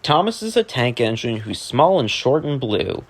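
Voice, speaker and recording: A man's voice: mid-range voice, big pitch swings, moderate pace. A man narrating children's rhymes as a read-aloud, reciting the verse in a sing-song way. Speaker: narrator, reader.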